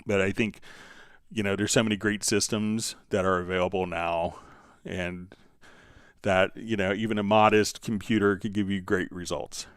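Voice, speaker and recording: A man's voice: sits low, steady tone, normal speed, clear, resonant tone, no echo, studio quality. A man hosting a live question-and-answer stream, talking casually and explaining things in an informal, rambling way with asides. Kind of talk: casual unscripted Q&A talk, reading out viewer questions.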